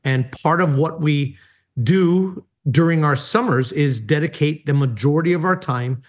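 A middle-aged man from Hawaii speaks earnestly about his faith, pausing often with fillers. His voice sits somewhat higher than most men's. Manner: earnest, many hesitations and fillers. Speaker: speaker and former pastor. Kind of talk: personal testimony in interview.

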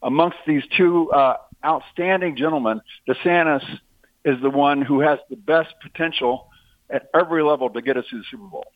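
A man's voice: on the high side, normal speed, no room sound. A man on a phone line, a guest arguing politics in a casual talk-show chat and leaning on sports comparisons. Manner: talkative, uses sports analogies.

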